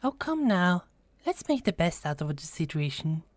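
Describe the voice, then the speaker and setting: A woman acts as a lively goddess in a fantasy audio drama. Her lowish voice moves freely up and down in a dry, close recording, sensible one moment and playfully commanding the next. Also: moderate pace, no room sound. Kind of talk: audio roleplay acting.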